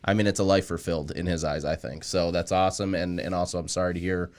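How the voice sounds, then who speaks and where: Podcast host: man, low-pitched, resonant, informal and easygoing in conversation. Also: flat delivery, fast, darkish tone.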